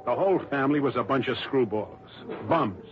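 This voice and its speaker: A man's voice: mid-range voice, animated, fairly quick, soft background music, lightly acted. An actor playing a grumbling, exasperated insurance man in a 1960s radio drama. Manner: grumbling, insistent. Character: fretful, exasperated insurance company man, male. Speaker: insurance official in a detective drama. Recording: muffled vintage radio broadcast recording.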